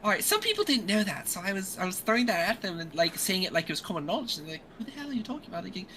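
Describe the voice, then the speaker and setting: A woman's voice: mid-range voice, very animated, racing, background noise. A woman hosting a casual podcast chat who drops into whispered asides. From a metropolitan area. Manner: whispers asides, laughs often. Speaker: podcast host.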